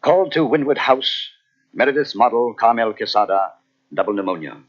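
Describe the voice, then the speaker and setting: An actor playing a measured, knowing doctor in an old-time radio mystery, speaking formally and deliberately with a husky voice. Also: male voice, medium pitch, animated, close to an everyday voice. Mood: grave, insinuating. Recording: muffled old radio cassette transfer.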